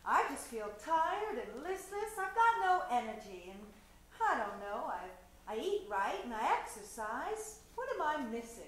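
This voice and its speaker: A woman plays a gullible housewife in an old radio ad sketch. The voice is high and swoops expressively, in a very echoey room. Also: sing-song, a little slow. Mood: plaintive. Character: listless, naive housewife patient, easily persuaded. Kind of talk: 1940s radio commercial spoof acting. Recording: very echoey room, faint noise.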